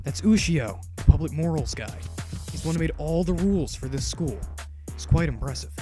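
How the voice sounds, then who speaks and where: Woman voicing a pompous, low-voiced teenage schoolboy. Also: expressive, brisk. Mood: awed. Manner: indignant, formal, pompous. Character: earnest, self-important teenage schoolboy. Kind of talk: anime fan dub acting. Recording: background music under dialogue.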